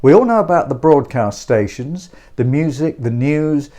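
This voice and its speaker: A man's voice: fairly high pitch, animated, moderate pace, dark tone. A man presenting an informal hobby explainer as a video host, chatty, speaking in short repeated phrases and posing questions to the viewer. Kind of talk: informal explainer to camera about a radio hobby.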